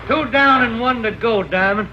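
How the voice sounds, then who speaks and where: A man's voice: extremely high pitch, normal speed. An actor playing a cocky, surly ranch foreman turned gunman, speaking in terse, menacing lines. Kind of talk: 1950s radio drama acting. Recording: muffled, noisy old radio recording.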